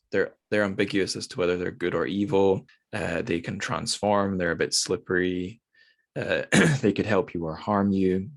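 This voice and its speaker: A man hosting a podcast, chatting casually about literature in a rambling, self-deprecating way. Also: low-pitched. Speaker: podcast host.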